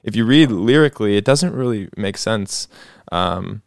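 A man's voice: on the low side, animated, moderate pace, vocal fry, no room sound, studio quality. A man, a guest musician, talking casually and thoughtfully on a podcast, with frequent fillers and restarts.

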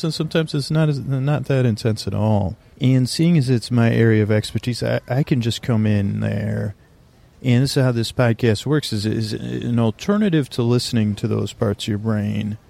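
A man's voice: fairly low pitch, vocal fry, dark tone. A man hosting a sleep podcast, talking in a languid, meandering bedtime monologue that drifts off topic and keeps interrupting itself.

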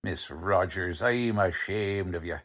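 An actor playing a gruff, bossy police lieutenant with tough-guy slang and brusque wisecracks, in 1940s radio drama style. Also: low-pitched, slow pace, fairly bright tone, a little hiss or hum. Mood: commanding. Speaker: police detective character.

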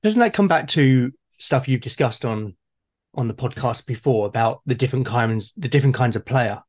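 A man's voice: mid-range voice, expressive, normal speed, darkish tone, slightly rough. A man talking casually in a discussion, tentative and often repeating his words as he works out a thought. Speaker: podcast guest. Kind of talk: casual conversation about tabletop gaming.

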